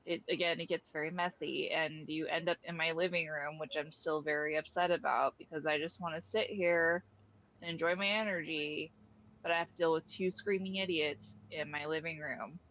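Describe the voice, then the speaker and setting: A person voices an irritated cosmic being of the void in an improvised audio drama. The voice is mid-pitched and slightly muffled on a lo-fi track, explaining things bluntly and dryly, with little patience. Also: steady tone, moderate pace, fairly bright tone, lightly acted. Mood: annoyed. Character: irritated, matter-of-fact Void, a cosmic being wanting peace. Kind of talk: loose improvised audio roleplay.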